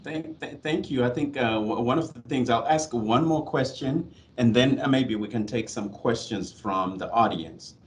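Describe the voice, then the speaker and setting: A man from Zimbabwe moderating a panel on a video call, posing questions in a polite, measured way. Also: medium pitch.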